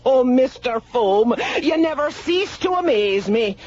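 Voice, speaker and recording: A woman playing an excitable, admiring housekeeper in a radio drama, gushing and easily amazed. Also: sits high, a little slow. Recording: muffled, noisy radio recording.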